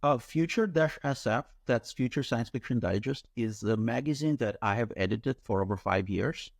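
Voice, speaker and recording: A man's voice: medium pitch, moderate pace, vocal fry, no room sound, clean studio sound. A middle-aged man from Ukraine whose first language is Russian, explaining steadily as an interview guest over a video call. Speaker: author and editor, guest. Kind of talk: interview answers.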